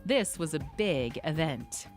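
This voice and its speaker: Woman narrator, scripted storytelling, animated delivery, faint music underneath. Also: low voice, slow.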